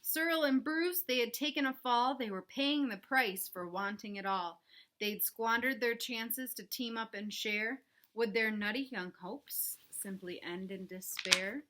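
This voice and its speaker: A woman reading a picture book aloud for young children, expressive and dramatic, with chatty asides to her listeners. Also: a little high, measured pace. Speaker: children's read-aloud presenter. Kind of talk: animated storybook read-aloud for young children.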